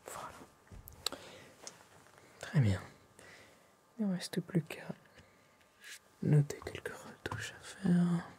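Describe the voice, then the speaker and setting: A man with a French accent playing a courteous, attentive tailor in a whispered ASMR roleplay, speaking softly, politely and formally to a client. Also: high voice, lively intonation, slightly rough, heavily acted. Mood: calm, attentive. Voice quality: soft, hushed, often whispered. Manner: polite, formal, unhurried salesman-like.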